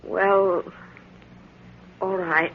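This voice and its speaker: An actress playing a reserved, principled sweetheart, hesitant and earnest, in 1940s radio drama style. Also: female voice, on the low side, little pitch movement, drawn-out words, heavily acted. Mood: restrained, earnest. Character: reserved, principled young sweetheart, gently firm. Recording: thin, tinny old radio recording.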